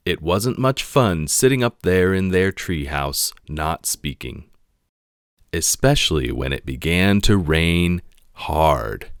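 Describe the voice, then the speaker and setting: A man reading a children's picture book aloud in an expressive narrating style. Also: sits low, slow, clean tone, no echo, clean studio sound. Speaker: storybook reader.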